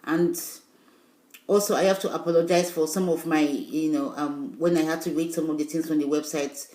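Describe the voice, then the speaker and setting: A woman whose first language is not English talks straight to her viewers in a loose, rambling vlog style, pressing her points with insistent emphasis. Her voice sits fairly low, and the room around her rings with echo. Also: steady tone, normal speed, mellow. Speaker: vlogger. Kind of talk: casual video talk to viewers.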